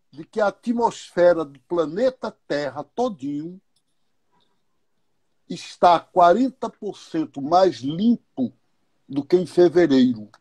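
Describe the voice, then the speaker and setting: Slow, husky-voiced man reflecting. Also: sits high, a little mellow, dry recording. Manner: unhurried, thoughtful, uses questions and examples. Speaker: guest speaker. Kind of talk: reflective explanation in a live online talk.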